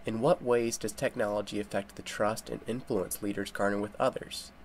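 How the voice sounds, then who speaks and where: Male video narrator, lowish voice, scripted read, faint noise behind. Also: fairly even intonation, normal speed. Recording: noisy voice-over with background ambience.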